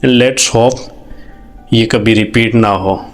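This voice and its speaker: An Indian man with a fairly low voice speaks over faint music. He talks earnestly and informally, like a host reflecting aloud to his viewers. English phrases slip into his conversational delivery. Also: steady tone, drawn-out words. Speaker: video host. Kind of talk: informal, reflective commentary to camera.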